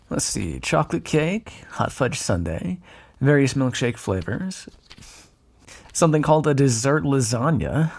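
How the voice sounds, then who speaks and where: An actor playing a flirtatious, smugly predatory yet caretaking male vampire in an intimate ASMR roleplay, with a breathy voice and teasing asides. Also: mid-range voice, heavily acted. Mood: playful, flirtatious. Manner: teasing, drawling asides with soft sighs and chuckles. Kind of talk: ASMR roleplay, intimate second-person acting.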